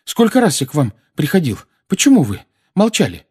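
A teenage boy voiced by a grown man, pitched up and lively. He sounds urgent, indignant and under strain, as a young storybook character. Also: moderate pace, slightly rough. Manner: urgent, questioning.

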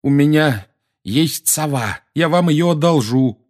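A teenage boy voiced by a grown man, pitched up and lively. He sounds urgent, indignant and under strain, as a young storybook character. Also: moderate pace, husky. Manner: urgent, questioning.

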